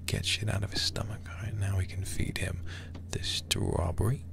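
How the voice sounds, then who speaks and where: A man doing hushed ASMR game commentary as a livestreamer, murmuring softly and often whispering close to the microphone. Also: low-pitched, level tone, normal speed. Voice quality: soft, breathy, often whispered. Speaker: livestreamer playing a horror game.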